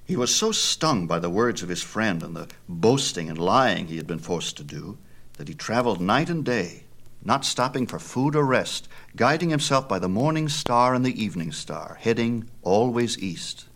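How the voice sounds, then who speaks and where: A man reading a scripted mythology story aloud in a dramatic, measured manner. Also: fairly low pitch, very animated, fairly bright tone.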